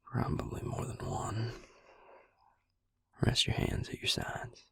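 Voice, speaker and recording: A man playing a gruff, caring vampire healer in an intimate roleplay audio, speaking in a hushed, breathy, gravelly murmur, blunt but tender. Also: slow, in a whisper, close to an everyday voice. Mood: gentle, soothing. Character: gruff, caring adult male vampire healer, protective and exasperated.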